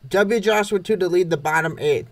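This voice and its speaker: A man's voice: high-pitched, normal speed. A man reading scripted play-by-play narration of a comic baseball game. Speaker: narrator of a comic dub series.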